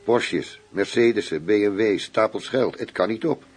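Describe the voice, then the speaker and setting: An actor playing a worldly, self-assured German undercover police chief, teasing and intimate in a radio play. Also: male voice, fairly low pitch, moderate pace, husky. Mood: pointed, mocking.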